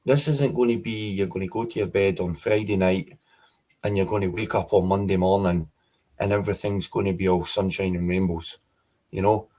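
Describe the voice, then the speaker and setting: Scottish man, podcast guest, emphatic, repetitive, husky, low-pitched. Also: steady tone, normal speed, darkish tone. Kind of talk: political interview.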